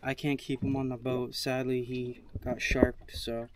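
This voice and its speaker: A man's voice: medium pitch, monotone, measured pace. A young man hosting a casual fishing vlog, talking to viewers from a small open boat at sea. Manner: laid-back, talks to viewers while fishing. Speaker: fishing channel host. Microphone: action camera on the boat. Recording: outdoor action camera with wind and water noise.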